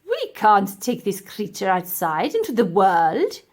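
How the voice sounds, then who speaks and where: A woman acts out a cold, gloating old professor from a children's storybook. The delivery is pompous and emphatic, slowly drawn out, with big swings in pitch as each point is pressed home. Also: huge pitch swings. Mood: stern, lecturing. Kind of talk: children's audiobook character acting.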